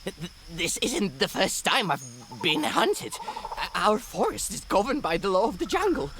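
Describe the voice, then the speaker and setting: A woman voicing a nervous forest creature in a video game, with a halting stutter, over nighttime insect ambience. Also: mid-range voice, very animated, noisy background, lightly acted. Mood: shaken, wary. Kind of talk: video game character acting.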